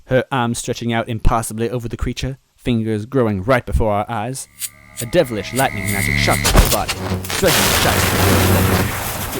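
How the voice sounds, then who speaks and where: A man reading a story aloud as narrator, expressive and adding little asides to the listener. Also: mid-range voice. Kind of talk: reading fan fiction aloud.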